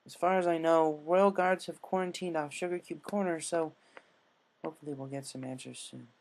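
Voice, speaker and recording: A man voicing a solemn, apologetic female nurse pony with a gentle, hesitant, grave delivery. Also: on the high side, steady tone, normal speed, pitched above the performer's own voice, close to an everyday voice. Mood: gentle, reassuring.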